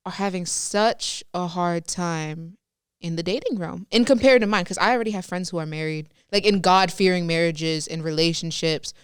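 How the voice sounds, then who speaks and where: Crisp, chatty young woman. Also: fairly low pitch, no room sound, clean studio sound. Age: young adult. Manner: chatty, rambling, self-interrupting. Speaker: podcast co-host. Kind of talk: casual chat.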